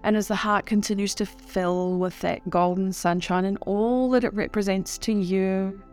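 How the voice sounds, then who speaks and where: A woman leading a guided meditation in a soothing, unhurried way with long pauses. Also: mid-range voice, fairly even intonation, a little mellow, faint music behind.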